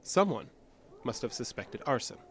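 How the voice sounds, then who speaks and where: Actor as guarded man with widely swinging pitch. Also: big pitch swings, moderate pace, slight background noise, natural voice. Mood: defensive. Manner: terse, stubborn, defensive. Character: guarded, oddly detached male restaurant assistant manager under questioning. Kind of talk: audio drama acting.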